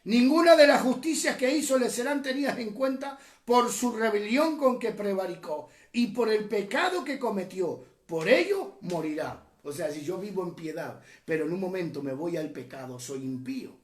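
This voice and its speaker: A man's voice: high voice, animated, moderate pace, few pauses, roomy sound. A man from Uruguay preaching a teaching sermon, impassioned, rising and dropping sharply and often calling the listeners brothers. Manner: impassioned, rises and drops sharply, often says "hermanos".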